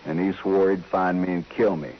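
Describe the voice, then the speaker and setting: A low-pitched cowboy played by a male actor, proud but troubled, a gunfighter who gave it up. He talks in plain, folksy rustic phrases. The sound is a muffled vintage radio western with some hiss. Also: little pitch movement, moderate pace, natural voice. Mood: grim, recalling. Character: troubled reformed gunfighter, rancher and father, proud, anxious. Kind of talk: old-time radio western acting. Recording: muffled, noisy old radio recording.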